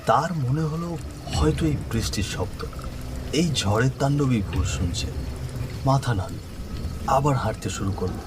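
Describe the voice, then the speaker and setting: A man's voice: mid-range voice, lively intonation, moderate pace, long pauses, noisy background. A man narrating a scripted horror story in a dramatic, suspenseful manner.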